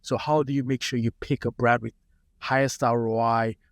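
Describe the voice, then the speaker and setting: A man in his late thirties from Nigeria, telling relaxed stories as a founder in a casual podcast interview. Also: medium pitch, moderate pace, no echo, clean studio sound. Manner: relaxed anecdotal storyteller. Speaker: startup founder, guest.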